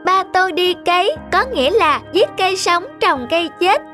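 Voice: extremely high pitch, animated, normal speed, bright, forceful tone, faint music behind, heavily acted. An actor voicing a clever, bold little village boy in a cartoon fairy tale, polite but pert and earnest. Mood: pert, confident.

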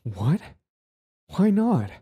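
A man's voice: fairly high pitch, very animated, drawn-out words, dark tone, husky, voice pushed up, full character voice. A man playing a lovestruck, clingy young bully in intimate romance roleplay audio, stammering, sighing and pleading. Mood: nervous, eager.

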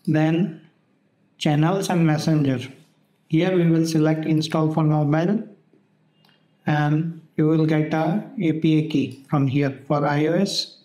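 Male tutorial host, slightly high voice, very unhurried, halting step-by-step screen narration. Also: darkish tone, rough recording. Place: voice-over for a screen recording.

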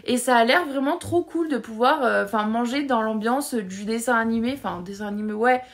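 Chatty young French woman, slightly high voice. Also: brisk. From France. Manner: chatty and conversational, lots of fillers, addresses viewer directly. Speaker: travel vlogger. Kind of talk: casual talking-head vlog. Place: bedroom with shelves, indoors.

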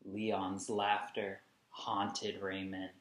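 Deliberate man reading to children, unhurried. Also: low-pitched, monotone, a bit bright. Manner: deliberate, emphatic, explaining asides. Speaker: children's read-along host. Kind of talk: expressive storybook reading for young children. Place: small indoor room at home. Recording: echoey room, camera at a distance.

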